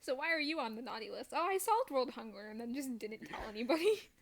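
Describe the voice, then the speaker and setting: A woman's voice: sits high, animated, quick pace. A woman chatting casually as she streams a game, joking in a self-deprecating way. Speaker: streamer playing a video game. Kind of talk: casual chat while gaming.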